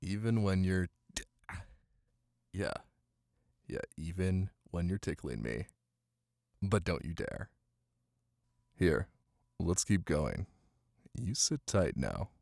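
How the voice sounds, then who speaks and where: Male ASMR boyfriend narrator: deep, expressive intonation, soft and intimate, cozy bedtime storytelling over rain. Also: slow, creaky, long pauses, no room sound, studio quality. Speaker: ASMR voice actor playing a caring boyfriend. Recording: light hiss under rain ambience.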